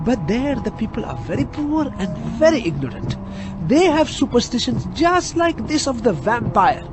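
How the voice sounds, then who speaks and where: High-voiced, nervous Indian cab driver. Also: male voice, sing-song, moderate pace, over music, low-quality recording. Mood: earnest, scoffing. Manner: hesitant yet garrulous, earnest, comically emphatic. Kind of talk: comic radio drama acting.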